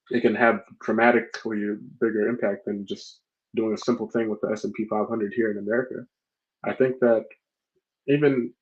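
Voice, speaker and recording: Low-voiced man on a muffled call. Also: a little mellow. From Congo. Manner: earnest, reflective, many fillers and restarts. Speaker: organization founder, guest. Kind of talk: live online conversation. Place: home room, map on wall behind. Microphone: video call. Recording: muffled lo-fi audio.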